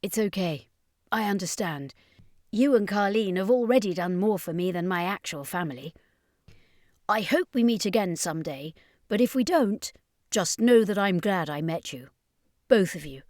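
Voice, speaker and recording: A woman voicing an earnest, gentle boy who is weary of adventure, in a narrated story. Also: medium pitch, animated, normal speed, no echo, clean studio sound, natural voice. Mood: grateful, resigned.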